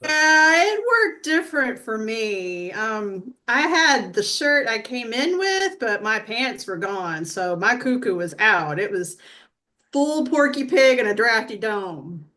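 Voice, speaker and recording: A woman playing a brash, earthy abductee in a comedy sketch read, telling it in a blunt, folksy, deadpan way. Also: a little high, slow, heavily acted. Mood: matter-of-fact.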